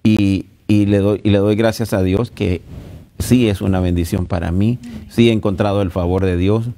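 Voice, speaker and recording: A man's voice: fairly low pitch, fairly even intonation, normal speed, mellow, slight background noise. A middle-aged man with a Central American accent preaching conversationally as a pastor, earnest and speaking directly to his listeners. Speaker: pastor teaching at a marriage retreat.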